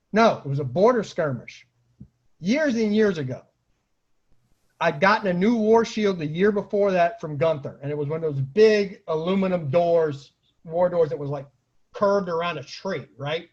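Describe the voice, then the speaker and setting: Male storyteller, high-pitched, casual and comic, acts out dialogue and noises, dull lo-fi recording. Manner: acts out quotes and sound effects, bursts into exclamations. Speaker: storyteller and host of an online story series.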